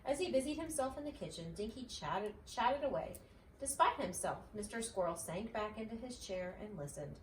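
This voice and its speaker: Lively woman reading a children's story. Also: mid-range voice, normal speed. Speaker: mother reading a storybook aloud. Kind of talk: expressive children's story narration. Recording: roomy, distant mic, faint noise.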